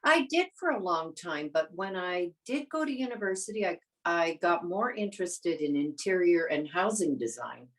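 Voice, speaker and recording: Older woman with a low-pitched voice. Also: expressive, moderate pace, hardly any pauses, rough recording. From born in the Netherlands. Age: 70s. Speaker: interview guest, retired teacher. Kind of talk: casual conversational storytelling.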